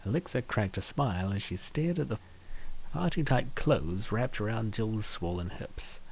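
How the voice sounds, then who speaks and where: A man's voice: lowish voice, expressive, moderate pace, gravelly, darkish tone. A man reading scripted story narration as the narrator of a comic dub.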